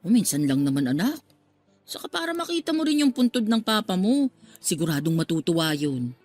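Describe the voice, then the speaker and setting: An actress playing a talkative, motherly middle-aged working-class woman in a radio drama, expressive and given to exclaiming. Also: lowish voice, fairly quick. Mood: coaxing, motherly. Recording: music under the voice.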